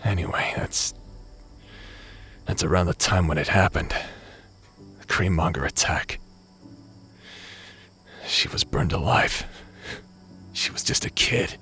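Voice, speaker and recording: Man acting a deep, slow, haunted ex space pirate. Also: fairly even intonation, bright, forceful tone, full character voice. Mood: grieving, wistful. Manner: halting, heavy with pauses. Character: grief-stricken, guilt-ridden male ex space pirate brother. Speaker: voice actor in an audio roleplay. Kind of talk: dramatic audio drama acting.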